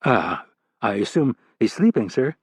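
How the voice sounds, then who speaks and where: A man's voice: mid-range voice, very animated, measured pace, vocal fry, husky, no echo, full character voice. A man voicing a young male FBI agent who answers his boss politely and hesitantly. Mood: nervous, deferential.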